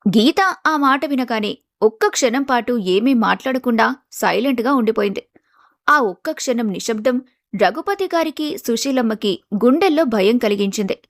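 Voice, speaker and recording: A woman's voice: fairly high pitch, lively intonation, moderate pace, dry recording, clean studio sound. A woman reading scripted storybook narration as the narrator of a family tale.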